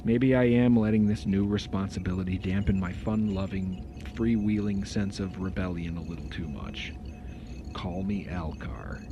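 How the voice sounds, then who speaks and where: A low-pitched, blunt first officer of a starship played by a man, exasperated and rebellious with a sarcastic edge. It is science fiction audio drama, with music clearly playing behind him. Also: normal speed, highs cut off. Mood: stern. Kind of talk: fan audio drama acting.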